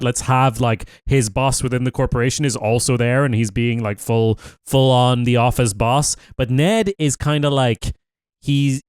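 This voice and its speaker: A man's voice: medium pitch, clean tone, no room sound, clean studio sound. A man co-hosting a podcast, chatting casually about TV shows and thinking aloud with fillers. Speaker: podcast co-host. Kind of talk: casual TV review chat.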